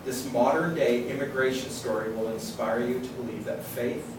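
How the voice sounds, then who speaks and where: Male event host, mid-pitched, halting when reading aloud, distant in an echoey room. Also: background noise. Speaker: event host introducing an author. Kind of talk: informal introduction, then reading a book blurb aloud. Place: indoor public reading event, far from the mic.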